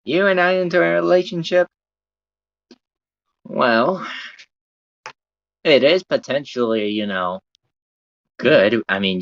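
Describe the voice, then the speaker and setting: A man with a thick American Southern drawl chats loosely with his livestream viewers and swears now and then. His voice sits on the low side, and the recording sounds dull and lo-fi. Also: a bit bright. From Southern United States. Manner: rambling, laid-back, casual swearing. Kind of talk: casual livestream chat with viewers.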